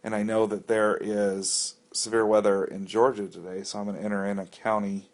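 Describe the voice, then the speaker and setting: Casting: American male reviewer with a fairly low voice, walking through software off the cuff, plain-spoken and explanatory. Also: little pitch movement, moderate pace. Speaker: app reviewer giving a walkthrough. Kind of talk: casual unscripted tutorial narration.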